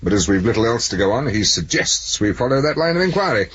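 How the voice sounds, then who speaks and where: An actor playing a brusque police superintendent, dry and sardonic, in 1970s radio drama style. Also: male voice, fairly low pitch, big pitch swings, fast, a bit bright, muffled recording, a little hiss or hum. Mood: sardonic, pressed.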